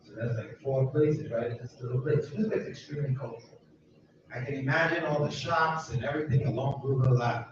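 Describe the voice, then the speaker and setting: A man's voice: medium pitch, brisk, noisy background. A man leading a group through a neighborhood, talking in an emphatic, rambling way and repeating himself. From United States. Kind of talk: informal talk to a walking group. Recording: distant, echoey, muffled with loud ambience.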